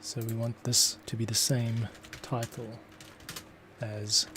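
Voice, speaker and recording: A man's voice: medium pitch, little pitch movement, takes it slowly, background noise. A man, a composer, talking through his scoring process as he works, thinking aloud. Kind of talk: thinking aloud tutorial commentary.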